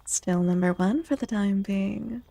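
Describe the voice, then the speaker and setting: A woman from the United States chatting softly and casually in an ASMR style, with a gentle, encouraging manner, as a streamer talking to viewers. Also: mid-range voice, a little slow, creaky, no room sound. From United States, born to Mexican parents. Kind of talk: soft-spoken ASMR casual chat. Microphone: close, dry microphone.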